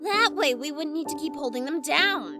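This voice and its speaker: Woman playing a high-voiced young cartoon pony. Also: animated, normal speed, over music. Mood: scheming. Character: young filly pony, tough, pragmatic sidekick. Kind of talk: amateur cartoon fan audio drama.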